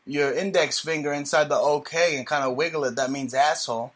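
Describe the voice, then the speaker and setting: A man's voice: on the high side, fairly even intonation, a little fast, a bit bright. A man hosting a crude, profane talk show, slipping Spanish slang into his casual chat. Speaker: host.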